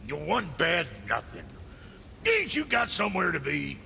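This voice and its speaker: A proud, gruff dwarf blacksmith for a video game. The voice is forceful and full of fry, leaping around in pitch. The talk is blunt, folksy and ungrammatical. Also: male voice, huge pitch swings, measured pace, a little hiss or hum, full character voice. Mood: defensive, irritated. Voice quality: gravelly. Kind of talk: video game character acting.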